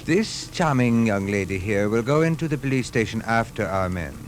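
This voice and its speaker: A courtly, menacing foreign villain played by a man in a 1950s radio drama. His voice is fairly low with lively, suave inflection and formal, commanding phrasing, on a faintly noisy old recording. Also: normal speed, lightly acted.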